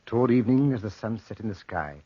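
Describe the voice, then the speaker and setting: A man narrating a dark adventure tale as a solemn storyteller, dramatic and portentous, in 1940s radio drama style. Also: on the low side, lively intonation, quick pace, slightly rough, no echo. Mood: grave, tragic. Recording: muffled 1940s radio recording.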